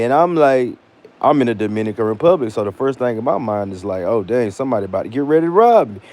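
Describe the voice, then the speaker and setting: An American man vlogging, telling a personal story casually with slangy, animated delivery and acted-out dialogue. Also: medium pitch. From United States.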